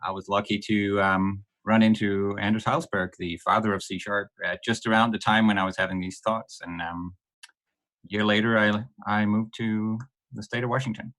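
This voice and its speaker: Middle-aged Danish man, low voice, thoughtful and halting guest on a podcast. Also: fairly even intonation, a bit bright, low-quality recording. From Denmark. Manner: hesitant, many ums and restarts, laughs lightly. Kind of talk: podcast interview.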